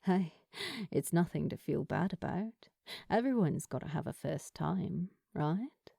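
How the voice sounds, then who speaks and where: Storybook role, acted by a woman narrator: cool, kind middle-aged queer charmer, smoky and lowered voice, casual. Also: measured pace, no echo, clean studio sound, heavily acted. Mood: teasing, reassuring. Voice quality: smooth, smoky. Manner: relaxed, casual, reassuring.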